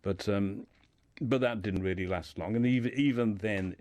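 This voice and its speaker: A man's voice: fairly low pitch, normal speed, a little mellow, dry recording. A man speaking as a guest in a radio discussion, telling a life story informally and often repeating words as he builds his sentences.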